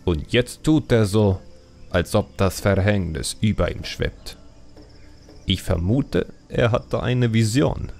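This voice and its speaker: A man's voice: on the low side, slow, creaky, darkish tone, heavily acted. A man voicing an earnest, brooding young male troll who wants to be a shadow hunter, grave and resolute, in a fantasy audiobook. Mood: grave, determined.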